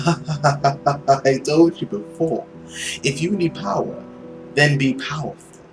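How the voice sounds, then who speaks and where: A man voicing an arrogant, malicious, centuries-old villain with mischief in him, in a theatrical visual novel reading with drawn-out emphasis. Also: on the high side, faint music behind. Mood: grandiose, persuasive.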